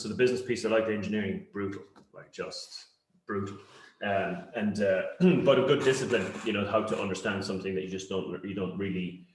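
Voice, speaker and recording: Low-voiced Cork man, echoey room. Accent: Cork Irish. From Cork, Ireland. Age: middle-aged. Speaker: interview guest, company founder. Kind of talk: conversational interview answers. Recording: roomy, echoey sound.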